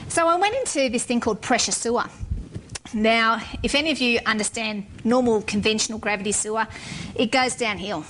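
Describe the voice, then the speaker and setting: A woman's voice: on the high side, fairly quick, fairly bright tone, a little hiss or hum, rough recording. A middle-aged woman, a civil engineer, giving a frank, wry talk to an audience. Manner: frank and wry, with blunt humor.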